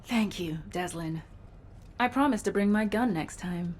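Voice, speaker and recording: An actress playing a determined, stubborn cyborg gunfighter in a video game, speaking tersely and earnestly. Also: female voice, mid-range voice, measured pace, a little mellow, a little hiss or hum, natural voice. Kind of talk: game cutscene dialogue.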